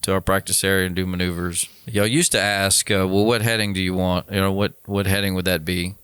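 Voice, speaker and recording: Smooth low-voiced man chatting. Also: little pitch movement, fairly quick, fairly bright tone, no echo, studio quality. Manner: informal, conversational. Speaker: podcast host, flight training pilot. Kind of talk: casual chat.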